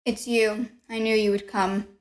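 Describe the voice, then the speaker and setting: A woman's voice: on the high side, little pitch movement, unhurried, heavy background noise, natural voice. A woman playing an unhinged killer who insists on being sane, in an intense, dramatic gothic horror audio play. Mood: insistent, intense. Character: unhinged killer insisting on their own sanity. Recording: echoey room with long reverb.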